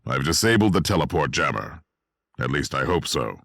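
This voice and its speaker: A man playing a gruff, dour inquisitor in a sci-fi war video game, speaking in a curt, sardonic, commanding way. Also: very deep voice, very animated, moderate pace, background noise, exaggerated voice. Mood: dry, sardonic.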